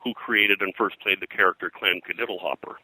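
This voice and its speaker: An older man, a pop culture historian being interviewed, reminiscing in a halting, digressive way full of detail. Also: low-pitched, steady tone, bright, forceful tone, slightly rough, dry recording, poor audio. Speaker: pop culture historian and comics colorist, guest.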